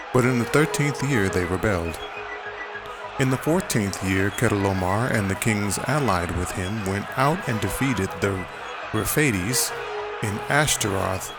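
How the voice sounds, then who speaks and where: A man narrating dramatized scripture in a measured, solemn, declarative way. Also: lowish voice. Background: dramatic music.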